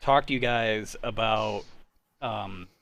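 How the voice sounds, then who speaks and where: A man's voice: on the low side, slow, fairly bright tone. A man around his thirties hosting a casual group chat in an informal, self-deprecating way. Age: 30s. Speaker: host. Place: online group call.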